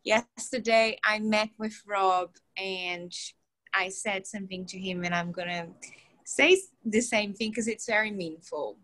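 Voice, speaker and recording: A woman's voice: medium pitch, fairly even intonation, a little slow. A woman from Brazil, introducing herself as a new board member on a video call, chatty with frequent laughs and restarts. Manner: chatty and warm, laughs often, many restarts.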